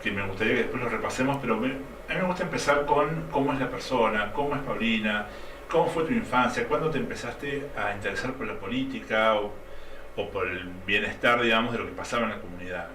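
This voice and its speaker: A man's voice: on the low side, brisk, pushed and bright, reverberant, a little hiss or hum, low-quality recording. A man hosting a radio talk show, delivering an emphatic opinion piece and interviewing a guest. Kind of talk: scripted opinion monologue and interview.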